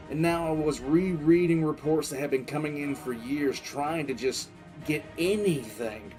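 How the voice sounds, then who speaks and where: A man playing a war-weary military commissar, recounting a crisis in halting, emphatic audio drama narration. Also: medium pitch, lightly acted. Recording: music under the voice.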